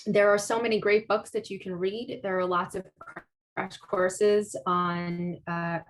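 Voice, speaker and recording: A woman's voice: mid-range voice, steady tone, slow, a little hiss or hum. A woman artist answering audience questions at a talk, thoughtful and hesitant, with many fillers and restarts. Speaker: guest speaker, artist.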